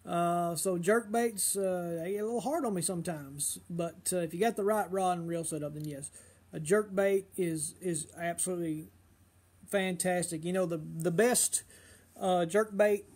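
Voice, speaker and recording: A middle-aged man, slightly hoarse, chatting casually on a fishing livestream and giving advice with lots of uh and um. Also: high voice, moderate pace, darkish tone. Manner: rambling, full of uh and um fillers.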